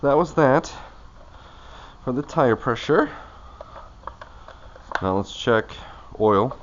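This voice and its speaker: Lively casual man, muffled noisy recording. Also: mid-range voice, takes it slowly. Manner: muttering to himself in short, loose phrases. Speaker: vlogger doing his own car maintenance. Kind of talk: casual talk-through of a hands-on task.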